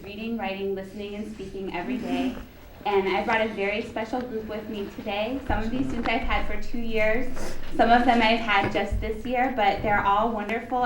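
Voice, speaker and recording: A woman speaking as a guest teacher, explaining her work in interview answers. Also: mid-range voice. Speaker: reading specialist and ESL teacher, guest. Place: live television taping at a community college. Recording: roomy echo, faint noise.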